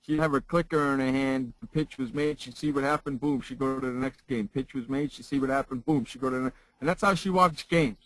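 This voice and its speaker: A man who trains broadcasters, giving a lesson in a loud, emphatic way and repeating phrases for effect. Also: medium pitch, fairly quick, hardly any pauses, no echo, poor audio. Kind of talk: casual chat turning into a spoken lesson.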